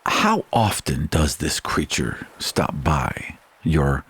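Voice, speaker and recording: A man's voice: rumbling low, expressive, slow, a bit bright, slightly rough, whispered, pitched below the performer's own voice, heavily acted. A man voicing a pompous, oblivious adventuring nobleman in a tabletop game, speaking in a grandiose, formal and long-winded way. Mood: earnest, presumptuous.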